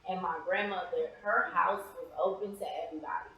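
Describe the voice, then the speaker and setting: A woman's voice: on the low side, fairly even intonation, fairly quick, muffled recording. A woman speaking casually as an interview guest, reflective and a little hesitant, repeating phrases for emphasis. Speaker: interview guest, playwright and actress. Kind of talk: casual personal conversation. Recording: very echoey, reverberant room.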